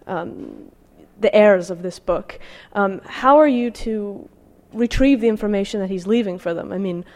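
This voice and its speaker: Woman, long hall reverb, medium pitch, academic lecturer, scholarly and somewhat halting delivery. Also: a little mellow. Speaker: academic lecturer presenting research. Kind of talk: academic talk with slides, reading out quotations.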